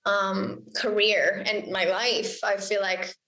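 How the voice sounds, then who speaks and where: A woman's voice: mid-range voice, level tone, slow, bright, forceful tone, reverberant, low-quality recording. A young Icelandic woman, a singer and songwriter, chatting as a guest in a casual interview, bubbly and giggly, often quoting herself.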